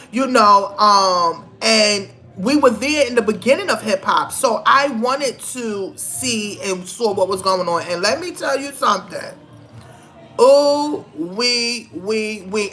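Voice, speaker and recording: Female vlogger, middle-aged. Somewhat high, sharp bright tone; chatty, opinionated, leans hard on repeated phrases. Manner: conversational, emphatic, repeats phrases for stress. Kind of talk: casual opinionated commentary.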